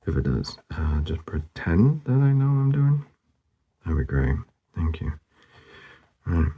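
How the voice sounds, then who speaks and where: A man narrating casually as he draws, laid-back and murmuring, with a husky, gravelly voice that often trails off. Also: sits low, sing-song, measured pace, muffled recording. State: losing his voice, hoarse. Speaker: artist hosting a drawing channel. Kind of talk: casual narration while drawing.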